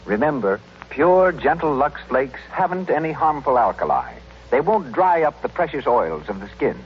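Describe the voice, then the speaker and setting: Animated male old-time radio announcer. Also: mid-range voice, moderate pace. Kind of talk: 1930s-40s scripted radio announcing and ad narration. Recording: muffled old radio recording with noise.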